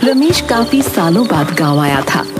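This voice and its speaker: Lively low-voiced woman narrator over loud music. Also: unhurried. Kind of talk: scripted horror story narration.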